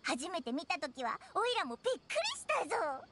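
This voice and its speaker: Tiny floating fairy sidekick for an anime game: very high, childlike, brash, highly animated. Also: female voice, brisk, noisy background. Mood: chatty. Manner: exclamatory, boyish brash talk. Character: tiny excitable, cheeky floating fairy companion. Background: game music.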